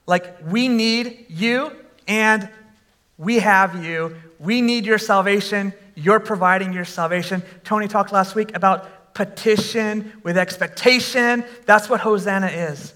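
A man preaching a sermon to a congregation, conversational with fillers, and breaking into animated shouts when he exclaims. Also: sits high, measured pace. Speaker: pastor.

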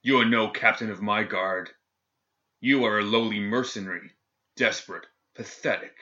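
A man voicing a cold, sadistic male governor general who speaks coolly and with calm menace. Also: fairly low pitch, moderate pace, highs cut off. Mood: cold menacing. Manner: cool, clipped, menacing.

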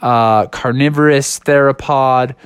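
A man's voice: mid-range voice, steady tone, slow pace, clear, resonant tone, no room sound, natural voice. A man voicing a laid-back young bear who is an earnest dinosaur enthusiast, with slangy dude talk. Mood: proud.

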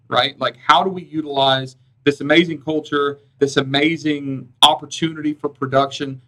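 A man's voice: mid-range voice, pushed and bright, low-quality recording. A man with an Alabamian accent hosting a podcast, chatting in an upbeat, rambling way. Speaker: podcast host. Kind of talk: casual interview chat.

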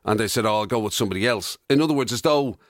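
A man's voice: lowish voice, rapid-fire, no echo, clean studio sound. An Irish man co-hosting a radio show, bantering casually and reacting to his partner. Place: radio studio.

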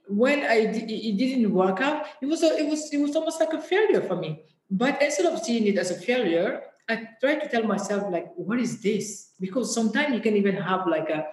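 An interview guest speaking candidly and reflectively, often pausing to search for words. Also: medium pitch, echoey room, poor audio.

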